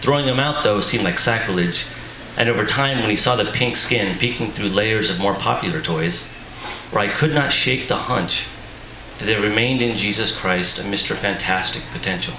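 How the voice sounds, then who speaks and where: A man, an author, reading his fiction aloud at a live event in a measured, deliberate way. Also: on the low side, bright, forceful tone. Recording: echoey room with faint noise.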